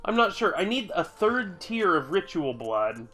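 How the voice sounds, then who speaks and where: A man streaming a video game and chatting to his viewers, his voice a little high and stuffy from a lingering cold. He talks slowly and rambles, grumbling and swearing casually as he plays. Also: big pitch swings, sharp tone, soft background music. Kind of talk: casual live-stream chat while playing.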